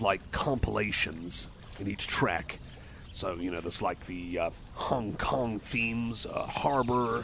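A man plays a shy cyborg pal with a gravelly, crackling edge to his voice. He rambles in hesitant bursts like a kindly game-music nerd in an ASMR roleplay, with clear outdoor noise and birdsong around him. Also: lowish voice, fairly bright tone. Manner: hesitant, halting, rambling, apologetic. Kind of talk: roleplay ASMR, casual one-sided chat. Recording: noisy outdoor ambience with birdsong.

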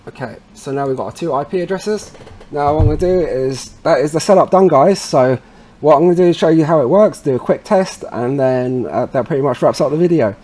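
A man presenting a casual step-by-step software tutorial in an informal way, addressing viewers as guys. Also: a little high, brisk, slight background noise. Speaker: tutorial presenter, installer trade.

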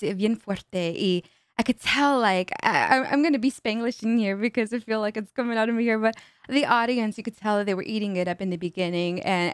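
A woman's voice: medium pitch, smooth, no room sound. A young woman whose first language is Spanish, reacting to music as a streamer in a bubbly casual way, switching between English and Spanish, speaking into a studio mic in a home streaming room. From United States, Mexican parents. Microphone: studio mic on boom arm, headphones on.

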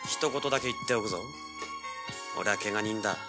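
A tough young adventurer played by a man tells and lives his story in a low voice. He is cocky and hard-boiled, in audio drama style, with music playing clearly behind him. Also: fairly quick, lightly acted. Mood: wry, subdued. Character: brash, tough young male treasure hunter narrating his adventure. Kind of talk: 1980s audio drama acting with first-person narration.

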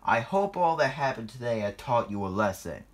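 A man voicing a stern, angry railway controller who scolds his engines in a cross, loud manner, in a children's story. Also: mid-range voice, very animated, moderate pace, natural voice. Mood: firm, lecturing.

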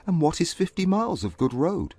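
An actor playing a proud, reserved gentleman in a dramatized audiobook, speaking formally and with measure. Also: fairly high pitch, sing-song, a little fast, husky, dry recording, soft background music. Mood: polite, probing. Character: Mister Darcy, proud reserved English gentleman.

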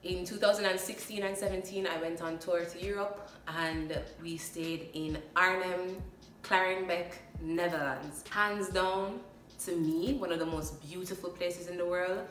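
A woman's voice: on the low side, fairly bright tone, reverberant, far from the mic, slight background noise. A young Jamaican woman chatting playfully in a casual vlog, slipping into patois, recorded on a phone in a small room. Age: young adult. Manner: chatty, playful, laughs often, slips into patois. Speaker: vlogger. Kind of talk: casual get-to-know-me vlog. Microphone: phone camera at a short distance.

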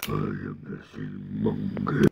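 A sinister demon monkey toy rumbles in an extremely deep, slowed-down voice. It sounds menacing and unnatural, part of a homemade toy cartoon. The recording is dull and lo-fi. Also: male voice, completely flat delivery, creaky, a little hiss or hum, exaggerated voice.

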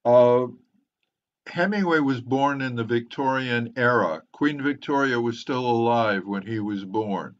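An older man speaking in a measured, deliberate, professorial way as he moderates an online book discussion. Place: indoors, on a video call. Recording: muffled, low-fidelity video call.

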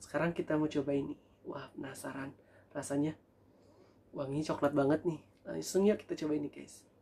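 A young man in a casual food review vlog, talking in a chatty, animated way to his viewers while seated at a table in a small room. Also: a little high, brisk, gravelly, slight background noise. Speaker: food vlogger.

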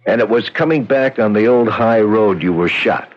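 An actor playing a skeptical, insistent police detective in a radio drama, questioning in clipped phrases with a husky voice. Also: male voice, lowish voice, moderate pace, natural voice. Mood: probing, skeptical. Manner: clipped questioning with tagged-on "huh?" and "hmm?". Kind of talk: 1970s radio drama acting. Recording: muffled old radio broadcast.

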